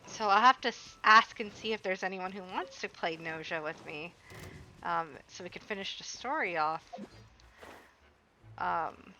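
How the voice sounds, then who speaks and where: Bright-voiced woman streamer chatting. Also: fairly low pitch, unhurried, dull audio, a little hiss or hum. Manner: rambling, talks back to the game as she plays. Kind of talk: casual chat while gaming.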